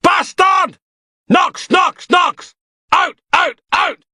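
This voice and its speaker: A furious mob bellows chants and threats at a shrill, screaming pitch. The shouting is loud and forceful, and it pushes far above his usual voice. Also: very animated, extremely slow, no room sound, clean studio sound, heavily acted. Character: angry chanting mob, men and women.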